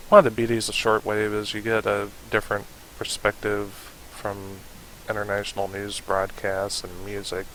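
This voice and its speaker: A man narrating a casual software tutorial, informally thinking aloud as he demonstrates, in a hissy home recording. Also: on the low side, poor audio. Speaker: tutorial host.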